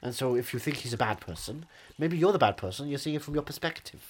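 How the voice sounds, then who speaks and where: Rambling, mock-pompous man, very animated delivery, quick patter, faint background hiss. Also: medium pitch. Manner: rambling, pompous, repeats "wonderful" and "of course". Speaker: comedic improviser in character. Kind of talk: improvised comedy bit, rambling mock-pompous persona.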